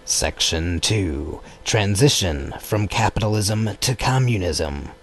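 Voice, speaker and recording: A man narrating a political text as an audiobook in a measured, formal manner. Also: low voice, animated, husky. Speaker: audiobook reader.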